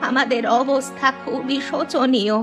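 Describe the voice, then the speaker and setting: A woman's voice: high-pitched, steady tone, moderate pace, music in the background, lightly acted. A woman playing a distressed, lamenting poor village woman in a cartoon, with a plaintive, wailing delivery. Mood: plaintive, worried. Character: distressed, lamenting poor village women who lost their home. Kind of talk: Bengali cartoon dialogue acting.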